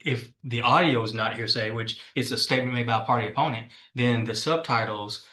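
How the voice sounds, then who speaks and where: A man questioning as a prosecutor in a courtroom, speaking informally and haltingly. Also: mid-range voice, pushed and bright.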